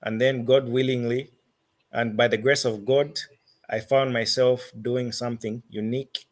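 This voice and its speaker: A man with a Zambian accent answering interview questions as a musician, phrasing his thoughts deliberately and haltingly. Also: mid-range voice, fairly even intonation.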